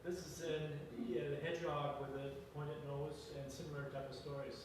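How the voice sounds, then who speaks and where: A man with a fairly high voice adds casual remarks and explanations in a halting way. He is heard from a distance, with heavy room echo and clear background noise. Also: level tone. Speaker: member of the group interjecting. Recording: very echoey room, far from mic, noisy.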